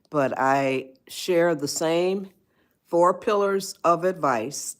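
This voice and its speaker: An 81-year-old woman, hosting a live stream and giving advice. She rambles, repeats herself and greets viewers by name. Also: deep voice. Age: 80s. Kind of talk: spontaneous livestream talk.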